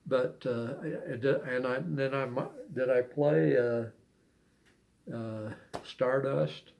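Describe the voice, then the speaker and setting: An older man with plenty of gravel and fry in his voice. He takes his time, speaking slowly and haltingly, like an amateur poet sharing his own work and memories. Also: medium pitch, fairly even intonation, darkish tone, slight background noise. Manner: halting, unhurried delivery. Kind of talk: poetry reading and casual reminiscing.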